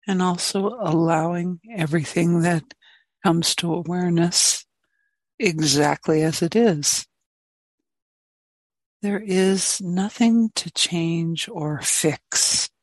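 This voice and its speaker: A woman meditation teacher giving guided meditation in gentle, spacious phrases with long pauses between them. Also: fairly low pitch, no room sound.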